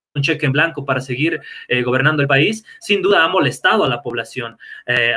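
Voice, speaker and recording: A man from Bolivia, a guest in a live online interview, speaking in a measured, analytical way with frequent "eh" fillers. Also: fairly high pitch, bright, forceful tone.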